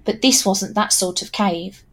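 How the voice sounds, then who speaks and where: A woman's voice: medium pitch, unhurried, breathy edge. A woman narrating a scripted story in a wry, conversational way with knowing asides.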